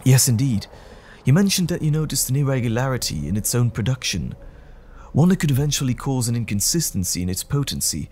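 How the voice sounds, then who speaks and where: A man playing a troubled, apologetic gentleman scientist in an audio roleplay drama, speaking in a formal, halting, anxious way. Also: mid-range voice, very animated. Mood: regretful, earnest.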